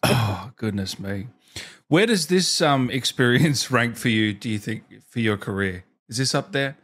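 A man's voice: medium pitch, lively intonation, a little slow, a bit bright. A man in his thirties hosting a casual interview, teasing and playful, speaking close to a desk microphone. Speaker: interview host. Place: small indoor streaming room.

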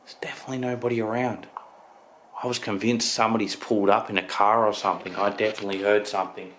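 Male ghost-hunt vlogger, thirties, fairly low voice, on-location narration with noticeable camera noise. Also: flat delivery, fast, fairly bright tone. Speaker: paranormal investigator, vlog host. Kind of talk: ghost-hunting vlog, on-the-spot narration and calling out to spirits. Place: inside an empty, derelict house. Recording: noisy handheld camera audio with clicks.

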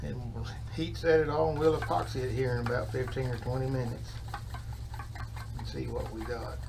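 A man's voice: medium pitch, fairly even intonation, normal speed, vocal fry. A man, talking easygoing and folksy while demonstrating lure painting in an indoor workshop. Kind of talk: casual how-to demonstration. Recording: distant mic, noisy workshop.

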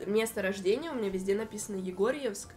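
A woman's voice: mid-range voice, fast, a little mellow, a little hiss or hum. A young woman chatting on a stream from a living room, telling stories and laughing easily. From Russia. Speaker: stream co-host. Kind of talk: casual chat.

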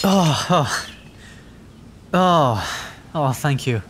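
Man voicing a slow, stammering, timid young dragon. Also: fairly high pitch, background noise, full character voice. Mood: disbelieving, grateful. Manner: stammering, hesitant, rambling, apologetic. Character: nervous, adorkable young male dragon, injured and frightened. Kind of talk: ASMR roleplay audio drama.

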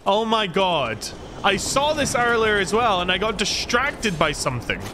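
A man's voice: high-pitched, sing-song, moderate pace. A Scottish man streaming a video game, chatting casually and self-deprecatingly to his viewers as he plays. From Scotland. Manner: rambling, self-deprecating, talks to chat while playing. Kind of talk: casual gameplay livestream chat. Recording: noticeable background noise.

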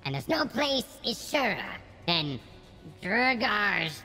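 A man voicing a gruff old male dwarf mining chief with a folksy brogue, saying aye and lad. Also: high voice, big pitch swings, takes it slowly, bright, forceful tone, long reverb tail, faint music behind, voice pushed up, exaggerated voice. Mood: grumbling.

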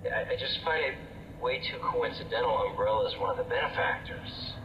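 Male actor as combative reporter in a game, animated pitch, dull echoey noisy playback. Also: moderate pace, recorded from a distance, natural voice. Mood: confrontational. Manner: pushy, blunt. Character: brash, combative, sceptical male investigative reporter. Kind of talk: video game cutscene acting.

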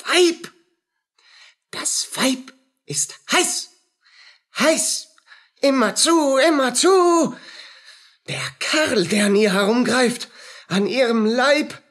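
A woman's voice: fairly high pitch, full character voice. A woman playing a tormented, jealous male soldier in a radio play, agitated, speaking in broken, repetitive bursts. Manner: agitated, fragmented, obsessive repetitions. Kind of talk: dramatic radio play acting.

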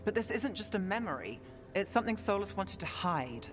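An actress playing an analytical investigator in a fantasy video game cutscene. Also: female voice, medium pitch, sing-song, brisk, close to an everyday voice. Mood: analytical, deliberate. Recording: dialogue under clear background music.

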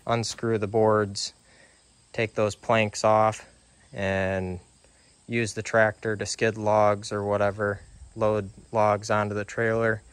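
A man casually walking through his trailer build outdoors, talking slowly with lots of ums and uhs. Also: fairly low pitch, smooth, no room sound. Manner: slow, relaxed, many ums and uhs. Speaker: DIY sawmill builder. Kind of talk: casual workshop walkthrough.

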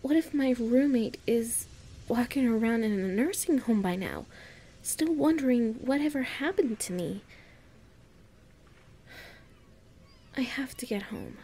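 A woman acting a clumsy, anxious young woman in an audio roleplay, murmuring and thinking aloud in a halting, breathy way. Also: on the high side, animated, mellow. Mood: worried musing.

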